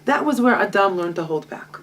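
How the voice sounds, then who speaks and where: A woman, a Hebrew speaker, teaching a Torah lesson with a lively, self-mocking manner and frequent laughter. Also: medium pitch, fairly quick, slight background noise. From Israel. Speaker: guest teacher. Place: home library. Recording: distant mic.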